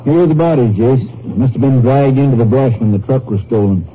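An actor playing a helpful, plain-spoken small-town sheriff, folksy and easygoing, in 1950s radio drama style. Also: male voice, mid-range voice, a little fast, dark tone, breathy edge, natural voice. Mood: folksy, puzzled. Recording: muffled, noisy old radio recording.

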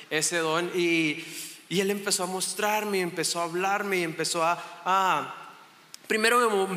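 A man's voice: fairly high pitch, pushed and bright. A man in his thirties from Chihuahua, Mexico, with a northern Mexican accent, preaching a casual sermon in a church and often restarting his sentences. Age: 30s. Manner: chatty and self-joking, with many restarts. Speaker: guest preacher. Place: church hall. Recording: echoey hall with long reverb.

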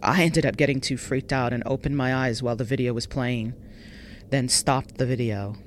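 A woman reads a scripted first-person scary story in a measured, dramatic way, drawing out some phrases. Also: rumbling low, steady tone, a little hiss or hum. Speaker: narrator reading a listener's scary true story.

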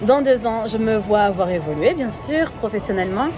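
A woman from France with a French accent, chatting casually as a podcast guest in a playful, expressive way. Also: medium pitch, fairly quick, over music. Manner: playful, giggly, expressive, repeats words for emphasis. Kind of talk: casual chat.

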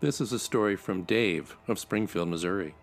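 A man reading a scripted spooky story as host, with a measured, dramatic delivery. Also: lowish voice. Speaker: host reading listeners' stories. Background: music.

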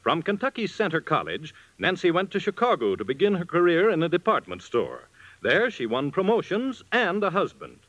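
A man's voice: fairly high pitch, huge pitch swings, normal speed, a bit bright, no room sound. A man narrating a 1940s radio commercial as announcer, with a polished storytelling sales delivery. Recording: dull vintage radio recording.

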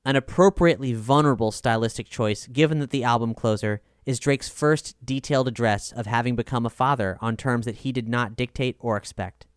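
A man reading a scripted music review in a measured, articulate, polished way. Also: mid-range voice, clear, resonant tone, no room sound, clean studio sound. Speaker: album review reader and podcast host.

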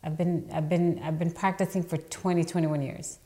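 A woman's voice: fairly low pitch, level tone, moderate pace, mellow. A woman in her fifties from the Dominican Republic who grew up in New York, a real estate attorney telling her story in a podcast interview with many ums. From Dominican Republic, raised in New York. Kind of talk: casual interview talk.

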